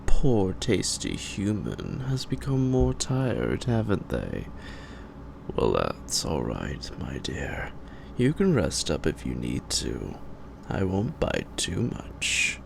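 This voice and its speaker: A suave, predatory male vampire in an audio roleplay, his voice extremely low and thick with gravelly fry. He speaks very slowly, taunting in formal, old-fashioned phrases, over a noisy home recording. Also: huge pitch swings, exaggerated voice. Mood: mock-tender, sinister. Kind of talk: roleplay audio drama monologue.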